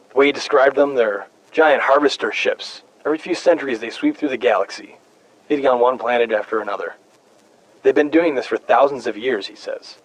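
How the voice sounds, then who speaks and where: An actor playing a weary, haunted human survivor stranded for decades on an alien planet, speaking in a brooding, hesitant, confiding way, as in a video game audio log. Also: male voice, mid-range voice, natural voice, poor audio. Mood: grave, reflective.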